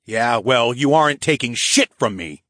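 A man's voice: medium pitch, expressive, moderate pace, fairly bright tone, no room sound, clean studio sound, lightly acted. A man voicing a cocky, tough dragon hero who taunts a prisoner in an audiobook. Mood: scornful. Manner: taunting, sneering.

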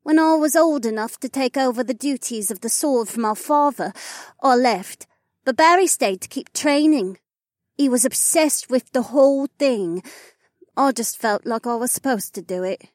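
A woman voicing earnest, devoted elven and spirit women in a fantasy audiobook, with an expressive manner. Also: high voice, moderate pace, no echo, studio quality, natural voice. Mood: reflective.

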